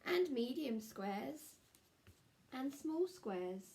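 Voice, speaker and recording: A woman teacher with an English accent reading a picture book aloud to children, gently asking them questions along the way. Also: high-pitched, very animated. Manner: gentle, slow, asks the listening children questions. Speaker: primary school teacher.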